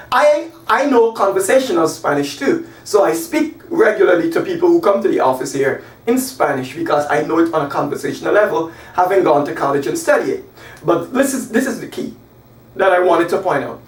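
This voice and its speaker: Guy teaching phrasal verbs at a whiteboard, slow emphatic examples, roomy echo, camera far off. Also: high voice. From Guyana. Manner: animated, gesturing, slow emphatic example sentences. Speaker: English teacher. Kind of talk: classroom lesson. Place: small room by a whiteboard. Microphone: camera across the room. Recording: echoey room, voice from a distance.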